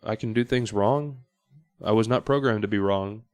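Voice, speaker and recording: Man, smooth clear voice, mid pitch, dry studio sound, casual podcast guest, halting thinking-aloud delivery. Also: dry recording. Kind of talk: casual chat.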